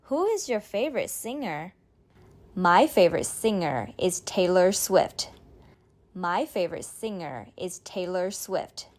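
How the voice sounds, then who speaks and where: A woman reading a beginner's speaking drill, saying each line slowly and clearly, then repeating it. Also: lowish voice, animated, a little mellow. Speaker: model speaker in a language drill. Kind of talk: slow scripted drill reading.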